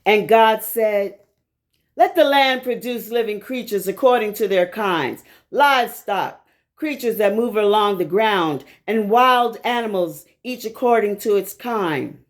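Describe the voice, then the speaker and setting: Female scripture reader, mid-range pitch, unhurried pace, solemn and measured phrasing. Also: animated. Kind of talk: measured scripted Bible reading.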